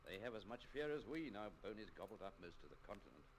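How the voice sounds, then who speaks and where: An actor playing a shrewd, senior admiralty lord in an old-time radio drama, formal and authoritative with a gravelly voice. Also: male voice, fairly low pitch, big pitch swings, quick pace. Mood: grave, urgent. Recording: very noisy vintage radio recording.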